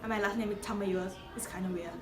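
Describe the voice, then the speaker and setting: A woman's voice: medium pitch, fairly even intonation, fast talker, far from the mic, music in the background. A teenage girl with a Thai accent speaks English thoughtfully, searching for words. She is off mic in an echoey room. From Thailand. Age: teens. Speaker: exchange student. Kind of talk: casual interview answers.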